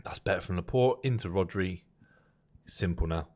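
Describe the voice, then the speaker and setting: Animated man pleading over a match. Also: low voice, moderate pace. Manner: animated, pleading, gestures with his hands. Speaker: co-host, football fan. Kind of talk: casual live match watchalong chat.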